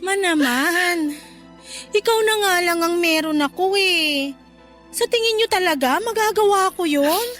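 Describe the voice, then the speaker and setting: A woman's voice: extremely high pitch, unhurried, a bit bright, soft background music. A woman playing a devoted, worried young grown-up child in a radio drama, earnest, respectful and emotional. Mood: pleading, tender. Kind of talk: Tagalog radio drama acting.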